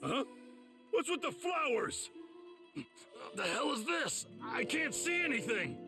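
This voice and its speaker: A man playing a gruff, bossy policeman in an English video game, brusque and commanding. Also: extremely high pitch, sing-song, slow, distant mic, music in the background, exaggerated voice. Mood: bewildered. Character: gruff, bossy male policeman barking orders.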